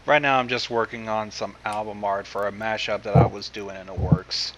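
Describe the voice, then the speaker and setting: A man's voice: lowish voice, fairly even intonation, moderate pace, crowd noise, low-quality recording. A man hosting a livestream with casual chat over a voice call. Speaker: livestream host.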